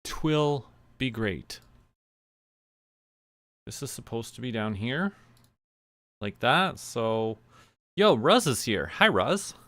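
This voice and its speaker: A man's voice: mid-range voice, lively intonation, takes it slowly, pauses often, a bit bright, dry recording. A man chatting casually on a livestream, rambling to himself as he goes about small tasks. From Canada. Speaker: streamer.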